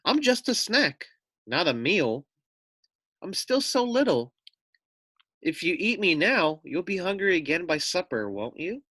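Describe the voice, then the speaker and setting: A man voicing a small young female lamb from a storybook, sly and calm, with a coaxing, flattering manner. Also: high-pitched, measured pace, breathy edge, no echo, pitched above the performer's own voice, exaggerated voice.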